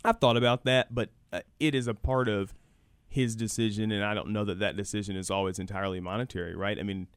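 Fast-talking man, conversational radio guest. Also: on the low side, fairly even intonation, clear, resonant tone, no room sound. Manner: conversational, explanatory, often checks with "right?". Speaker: radio show guest, university program director. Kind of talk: live radio interview, answering callers.

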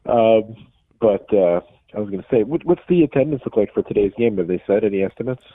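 An American man calling in to a radio show, chatting casually in a halting, rambling way over the phone. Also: lowish voice, animated, phone-quality sound. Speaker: radio call-in listener. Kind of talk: casual chat.

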